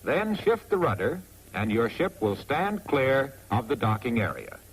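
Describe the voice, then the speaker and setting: A man with an American accent narrates a 1940s instructional film in a wry, clearly phrased, scripted manner on a vintage soundtrack. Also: mid-range voice, wildly swooping pitch, unhurried, low-quality recording. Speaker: narrator of a military training film.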